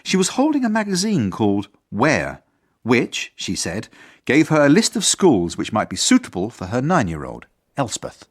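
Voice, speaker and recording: Earnest headmaster, husky, formal, mid-low male voice, lively intonation, radio drama narration. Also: moderate pace. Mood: formal, dry. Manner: formal, precise, prickly. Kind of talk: first-person narration and radio drama acting.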